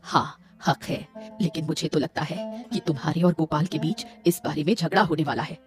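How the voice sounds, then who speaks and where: A woman's voice: low voice, normal speed, close to an everyday voice. A woman voicing a stern, disapproving mother-in-law in a Hindi cartoon, speaking firmly and reproachfully. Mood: scolding. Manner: firm, reproachful. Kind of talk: Hindi moral-story cartoon voice acting. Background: music.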